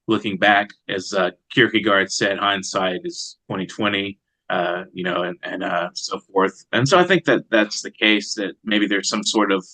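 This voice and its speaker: A man speaking as a poet in an interview, reflective and measured in his manner. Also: sits low, pushed and bright, rough recording. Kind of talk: interview answers and poetry reading.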